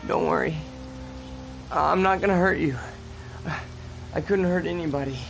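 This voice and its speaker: An actor playing a wounded young policeman in a radio drama, halting and pleading urgently for help. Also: a little high, sing-song, creaky, over music. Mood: weak, earnest. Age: young adult.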